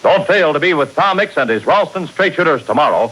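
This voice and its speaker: A man narrating a 1940s radio serial as announcer, in a theatrical, measured, scripted style. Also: on the high side, lively intonation, a bit bright, low-quality recording. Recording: hissy 1940s radio broadcast.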